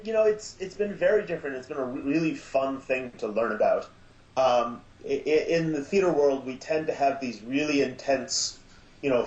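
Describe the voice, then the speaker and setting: A man speaking as an interview guest in casual chat, enthusiastic but halting and reflective. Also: medium pitch, a little mellow, reverberant, muffled recording. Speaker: podcast guest, audio drama maker.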